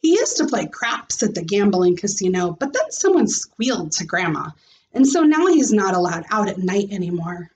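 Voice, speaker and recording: A woman's voice: medium pitch, sing-song, normal speed, darkish tone, recorded from a distance, close to an everyday voice, low-quality recording. A woman voicing an eager young schoolboy in a storybook, chattering and blabbing. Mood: matter-of-fact. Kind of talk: acted children's storybook reading.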